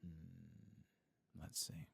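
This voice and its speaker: A tired man giving soft-spoken, partly whispered ASMR commentary on a game, murmuring unhurried asides to himself. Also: deep voice, completely flat delivery, gravelly, slight background noise. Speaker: ASMR gaming streamer.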